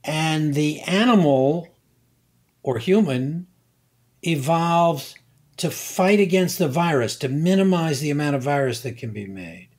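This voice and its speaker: An older man, a guest scientist, explaining slowly and deliberately, pausing and repeating words as he thinks. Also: on the high side, animated, darkish tone. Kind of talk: unhurried expert explanation.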